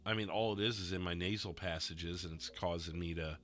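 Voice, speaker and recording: A man chatting casually with his stream viewers, his voice a little rough and stuffy, rambling and laughing often. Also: low-pitched, steady tone, a little fast, fairly bright tone, soft background music. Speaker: livestreamer playing a roleplay dispatcher.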